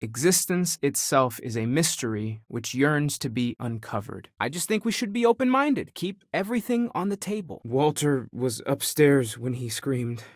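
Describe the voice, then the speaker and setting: Young male space explorer, game character: sincere, softly questioning seeker, dry studio-close sound. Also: medium pitch, sing-song, moderate pace, hardly any pauses, no echo. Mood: thoughtful, wondering, then grieving, subdued. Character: earnest, curious, spiritually searching young male space explorer. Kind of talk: video game voice acting.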